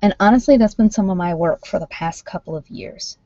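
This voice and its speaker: A woman chatting casually as a livestream host reading cards, thinking aloud in an informal, halting way, on dull lo-fi audio. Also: mid-range voice, dark tone, no echo. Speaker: livestream host doing tarot card readings. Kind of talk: casual live chat with viewers, card reading. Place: at home, indoors.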